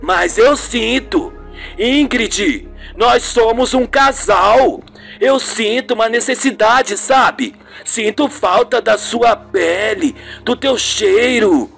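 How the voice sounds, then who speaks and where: One performer playing a needy, slow-talking young man. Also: mid-range voice, gravelly, fairly bright tone, full character voice. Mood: pleading. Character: Marcelo, needy suspicious young man, hurt husband. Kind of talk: radio soap opera acting. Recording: music behind the voice.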